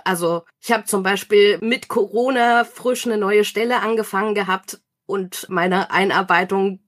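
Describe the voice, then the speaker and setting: A woman with an average-pitched voice speaks as a guest in an interview. She explains things informally and sounds friendly and keen about what she describes. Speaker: interview guest, online community organizer.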